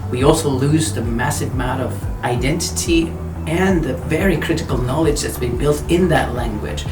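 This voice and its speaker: Finnish-accented male staff member, calm explainer, mic set back with music under. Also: medium pitch. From Finland. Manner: measured, explanatory. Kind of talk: interview answers. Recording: roomy echo, distant mic, background music.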